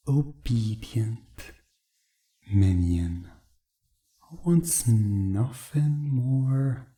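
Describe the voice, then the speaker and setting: A man voicing a commanding, seductive vampire master in a hushed, deliberate, hypnotic ASMR roleplay. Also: lowish voice, far from the mic.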